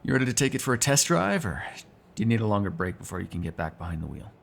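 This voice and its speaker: A man's voice: on the low side, sing-song, very fast, vocal fry, slight background noise. A man playing a sarcastic, teasing vampire who kills without regret, in casual, profane roleplay banter. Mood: wry, coaxing. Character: snarky male vampire, restless unrepentant killer, teasing. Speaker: voice actor in an audio roleplay. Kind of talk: ASMR roleplay, casual one-sided dialogue.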